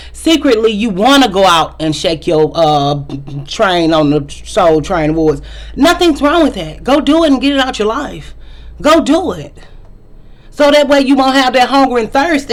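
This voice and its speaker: Thirties woman, solo commentary host, preachy and emphatic. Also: on the high side. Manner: preachy, emphatic, repetitive lecturing. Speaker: vlog host. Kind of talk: opinionated commentary monologue.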